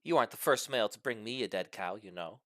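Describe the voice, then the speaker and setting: A man's voice: lowish voice, lively intonation, fast, fairly bright tone, dry recording, studio quality. A man voicing a young female dragon in a storybook, aloof, bored and scoffing. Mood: unimpressed.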